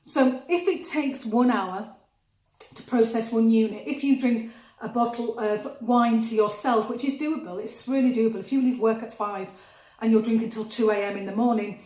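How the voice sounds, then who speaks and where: A woman speaks in a slightly high voice. She chats through practical health advice in an emphatic, conversational way and repeats words to stress them. The room gives her voice a noticeable echo. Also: fairly even intonation, moderate pace, mellow. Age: 50s. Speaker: nutritional therapist. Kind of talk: casual advice vlog to camera. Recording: echoey room.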